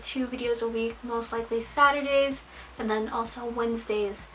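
A woman's voice: fairly high pitch, a little hiss or hum, low-quality recording. Chatty young woman with a worn voice. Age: young adult. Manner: chatty, bubbly, animated hand gestures. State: losing her voice. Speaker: vlogger. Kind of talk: casual chat to camera. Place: small bedroom full of anime figures, indoors.

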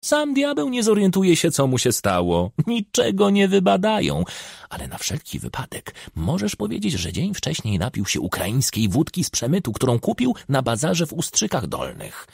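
Man voicing a raspy, scheming old village witch. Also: a little high, wildly swooping pitch, moderate pace, darkish tone, no room sound, clean studio sound, pitched above the performer's own voice, exaggerated voice. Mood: conspiratorial. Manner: coarse, conspiratorial, instructing.

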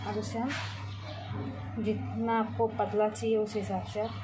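A woman hosting a cooking channel, calmly explaining a recipe step by step from her home kitchen. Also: mid-range voice, measured pace, background noise.

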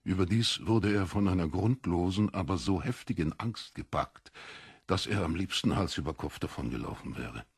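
Tiefer, rauer männlicher Erzähler. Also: male voice, deep voice, animated, moderate pace, slightly rough, dry recording, studio quality. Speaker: audiobook narrator. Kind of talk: scripted literary narration.

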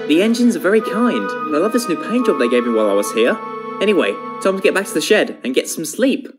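A friendly, sincere cartoon steam engine, voiced by a woman. The voice is fairly low and lively, with quick delivery. Music sits clearly behind it.

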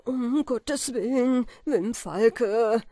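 A woman voicing a desperate young Scottish peasant man who pleads in anguish, slow and drawn-out, for a storybook reading. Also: extremely high pitch, mellow, no room sound, studio quality, pitched above the performer's own voice, heavily acted. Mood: pleading.

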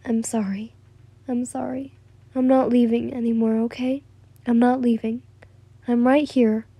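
Young big-sister role, acted by a woman: caring, torn, apologetic, mellow tone, close dry sound, audio story. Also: fairly high pitch, a little slow, no room sound. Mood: soft remorse. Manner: pleading, apologetic, soothing.

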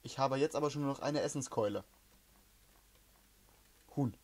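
A man's voice: medium pitch, moderate pace, a little mellow. A man chatting casually and grumbling in slang while playing a game. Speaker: let's player in a group. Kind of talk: casual gaming chat.

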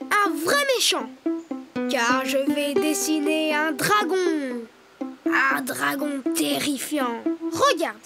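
A performer voicing a brave, cheerful little boy rabbit in a preschool cartoon, explaining things clearly and encouragingly. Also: sits high, heavily acted. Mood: eager. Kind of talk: preschool cartoon voice acting, instructing. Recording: music behind the voice.

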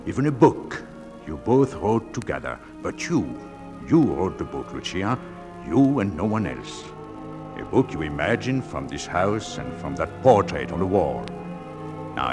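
A gruff old-time radio ghost of a sea captain, played by a man, speaking slowly and with sweeping intonation over prominent music. He is theatrical, wistful and in love. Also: sits low, full character voice. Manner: theatrical, tender, wistful delivery. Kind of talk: 1940s radio play acting.

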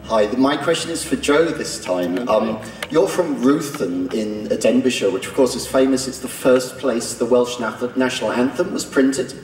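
A man's voice: mid-range voice, moderate pace, few pauses, slight background noise. A man, a journalist, asking a detailed, formal press question. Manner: formal, informative. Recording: echoey hall from far off.

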